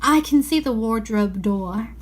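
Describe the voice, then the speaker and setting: A woman's voice: fairly high pitch, expressive, unhurried. A woman voicing a polite, earnest, kind little girl from a storybook in a pleading manner.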